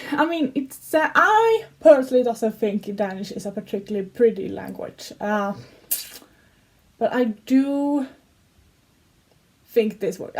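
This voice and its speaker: A giggly young woman with a Swedish accent, talking casually for a reaction video. Her voice sits fairly high and she takes her time, pausing and restarting as her English slips now and then. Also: darkish tone. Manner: chatty and giggly, slightly broken English grammar. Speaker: YouTuber reacting to songs.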